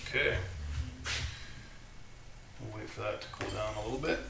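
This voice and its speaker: A man with a low voice hosting a live build stream, thinking aloud as he works at his bench, with halting restarts. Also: creaky, noisy background. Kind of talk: casual live workshop commentary. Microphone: condenser mic set away from him. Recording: distant, roomy mic with music playing. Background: music from a streaming app.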